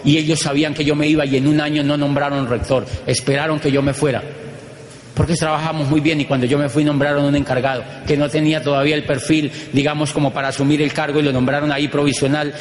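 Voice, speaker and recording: A middle-aged Colombian man with a Colombian accent, giving an animated storytelling talk to an audience, using rhythmic repetition. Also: a little high, fast. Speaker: motivational speaker. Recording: echoey hall with long reverb.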